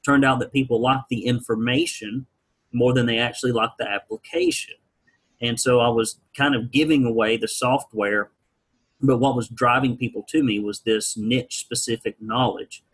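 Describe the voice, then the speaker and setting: A man's voice: medium pitch, fairly even intonation, moderate pace, fairly bright tone, slightly rough, low-quality recording. A man from rural Alabama, telling his story as an interview guest with wry, folksy, blunt humor. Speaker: guest, business founder.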